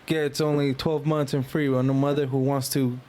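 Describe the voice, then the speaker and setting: A man's voice: medium pitch, little pitch movement, moderate pace, dark tone. A man talking casually as a podcast co-host, in blunt, slangy, profane remarks. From Salinas, California.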